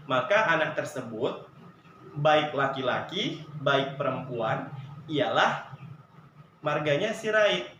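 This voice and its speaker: A male sociology teacher giving an online lesson, explaining through examples, asking the class rhetorical questions and filling pauses with "nah.". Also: a little high, a bit bright. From Javanese heritage, Indonesia. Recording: echoey room with faint noise.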